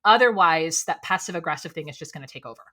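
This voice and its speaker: A woman with a low voice, a speaking coach talking candidly as a podcast guest, animated and quick with lots of "like". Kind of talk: candid conversational interview.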